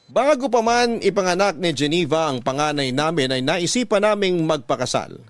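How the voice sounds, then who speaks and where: Radio drama narrator: man playing an earnest young dad, lightish pitch, reflective and measured, recorded dry. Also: lively intonation, no echo, lightly acted. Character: earnest young father recounting his love story.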